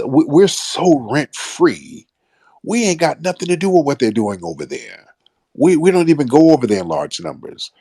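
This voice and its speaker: Deep-voiced, blunt American male host. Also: a little mellow, husky, dry recording, clean studio sound. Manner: blunt, combative, emphatic, swearing. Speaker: online radio show host. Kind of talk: opinionated live monologue, colloquial street slang.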